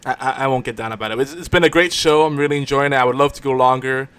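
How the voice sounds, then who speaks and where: A man's voice: medium pitch, fairly even intonation, very fast, a bit bright, clear, resonant tone. Rambling male podcast host. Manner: rambling, repeats words and restarts sentences often. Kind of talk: casual banter on a live online show.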